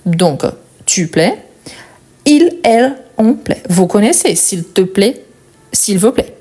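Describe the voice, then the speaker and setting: A woman with a non-native French accent leads a live lesson on verb conjugation. Her voice is warm and mellow at a middling pitch. She works slowly, repeating each form twice with small fillers and quick asides. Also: expressive. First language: not French. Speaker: French teacher.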